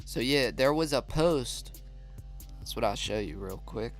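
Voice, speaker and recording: A man's voice: mid-range voice, expressive, unhurried, gravelly, faint music behind. A man with a stuffy nose hosting a casual live stream, chatting and reading viewer comments aloud.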